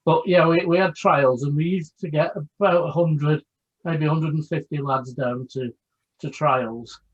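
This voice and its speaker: Husky higher-voiced man telling stories. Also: fairly even intonation, measured pace. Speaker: co-host, former teacher. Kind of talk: casual video chat storytelling. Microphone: video call. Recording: dull lo-fi video call audio.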